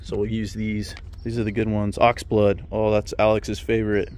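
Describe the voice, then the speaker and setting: A man chatting casually outdoors, relaxed and matter-of-fact, explaining things as he goes. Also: fairly low pitch, normal speed. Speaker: vlogger. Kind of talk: casual chat. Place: outdoor parking lot by a vintage car.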